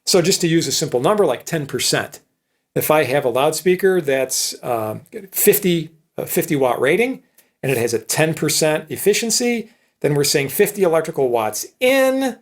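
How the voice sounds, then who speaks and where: A man giving an informal technical lecture in a conversational, explanatory manner, often checking understanding with short tag questions. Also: a little high. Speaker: electronics professor.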